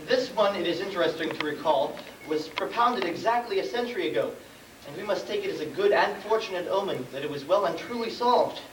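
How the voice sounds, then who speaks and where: A man's voice: high voice, expressive, brisk. A man playing a pompous royal chancellor in an amateur stage comedy, speaking with formal, wordy ceremony. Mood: ceremonious. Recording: distant, echoey, noisy stage recording.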